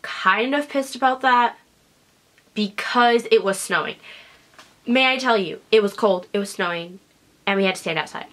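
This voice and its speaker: A young woman vlogging casually from her bedroom, chatty and animated, with a congested, sniffly voice, talking to a camera in front of her. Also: mid-range voice, moderate pace, long pauses. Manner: chatty and animated, lots of "like" and "whatever". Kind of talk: casual storytelling vlog. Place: small bedroom, indoors. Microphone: camera in front at desk distance.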